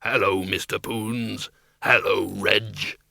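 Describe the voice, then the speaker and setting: A man voicing a huge, hairy, cheerful bogeyman in a slow, matey, eager manner for a storybook. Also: fairly low pitch, steady tone, creaky, bright, forceful tone, no room sound, clean studio sound, voice pushed down, heavily acted. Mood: friendly. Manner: slow, friendly, matey delivery.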